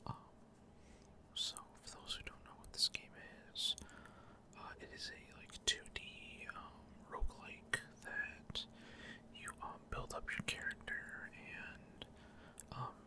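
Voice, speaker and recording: Whispering man in halting ASMR game commentary. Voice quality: soft, unvoiced whisper. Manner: halting, frequent pauses mid-sentence. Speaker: gaming video host.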